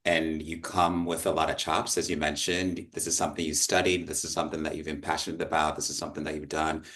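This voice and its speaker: Host: man, very low pitch, upbeat and fluent. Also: little pitch movement, fast, a bit bright. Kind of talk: interview hosting.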